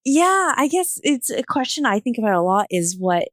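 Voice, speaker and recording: A woman's voice: a little high, big pitch swings, moderate pace, a bit bright, no room sound, studio quality. A woman speaking casually as a podcast guest, reflective and tentative as she offers her thoughts.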